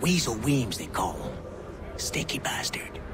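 A man's voice: fairly low pitch, big pitch swings, unhurried, heavy background noise. A male actor playing a mocking, gossipy prison inmate in a video game, sneering and slangy. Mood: conspiratorial. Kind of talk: video game dialogue acting. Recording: music behind the voice.

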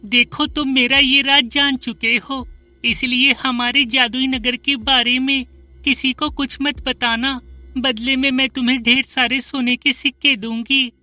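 A cartoon young woman, acted out by a man, stern and guarded. The voice is shrill and extremely high, held on a level line. She speaks slowly and emphatically over music. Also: flat delivery, bright, forceful tone, full character voice. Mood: stern, commanding.

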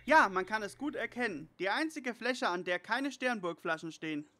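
A bright, sharp-edged teenage boy detective voiced by an actor, cheeky and wordy. He is the brainy one in a parody audio drama, excitable in his delivery. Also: mid-range voice, moderate pace. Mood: matter-of-fact.

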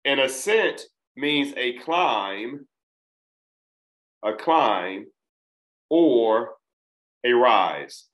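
Male instructor of Trinidadian background, mid-pitched, careful deliberate diction, webcam in an echoey room. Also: very animated, a bit bright. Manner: deliberate, enunciating each syllable. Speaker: test prep instructor. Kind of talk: recorded lesson. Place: small room, indoors.

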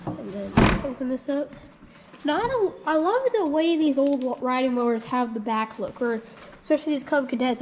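A woman's voice: high voice, darkish tone, a little hiss or hum, low-quality recording. A woman hosting a casual walkthrough vlog, thinking aloud in a rambling, unsure way with frequent ums. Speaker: channel host.

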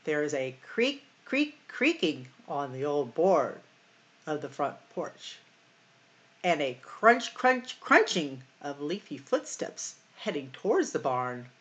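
A middle-aged woman hosting a library storytime, reading picture books aloud in a deliberate, expressive way for young children. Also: low voice, lo-fi sound. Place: small room at home or office, indoors.

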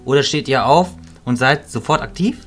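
A fatherly old wizard who leads his fellows, played by a man in a fantasy audio drama. He speaks in a medium-pitched voice, calm and considerate. Music runs behind the voice. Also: moderate pace, natural voice. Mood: calm, friendly. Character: fatherly, kindly old male wizard leader.

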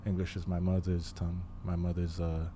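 Low dark-voiced young man from Haiti. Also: completely flat delivery, a little hiss or hum. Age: 20s. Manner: thoughtful, pauses often with fillers. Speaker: guest. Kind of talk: casual interview. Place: living room podcast set. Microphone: studio mic on boom arm, headphones.

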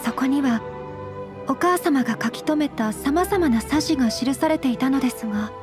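A refined young noblewoman acted by a woman speaks in a high voice with careful honorific politeness. She is earnest and modest, measuring each phrase gently, as in a Japanese anime game. Soft music plays beneath her. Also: normal speed, close to an everyday voice. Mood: earnest, hesitant. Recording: music under the voice.

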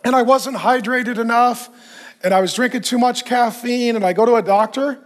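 High-voiced pastor preaching, a bit hoarse. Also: male voice, moderate pace. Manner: animated, conversational preaching with self-deprecating humor. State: losing his voice, clearing throat. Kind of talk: sermon. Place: church stage, indoors.

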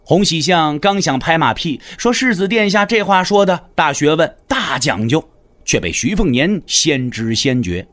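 Male narrator for martial-arts drama; lively intonation, slightly high voice, scripted storytelling. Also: normal speed.

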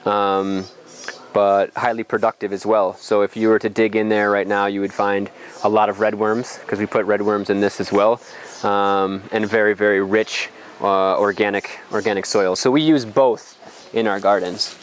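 A man's voice: lowish voice, level tone, moderate pace. A young adult man explains things in an informal outdoor tour, thinking aloud with some hesitation, on a lapel mic. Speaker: farm guide. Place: outdoors on a farm.

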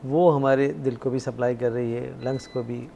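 Mellow Pakistani male doctor explaining. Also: mid-range voice, fairly even intonation. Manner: measured, mixes in English terms. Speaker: doctor, studio guest expert. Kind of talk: explanatory expert talk. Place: TV studio at a desk. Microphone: lapel mic. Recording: clear background noise.

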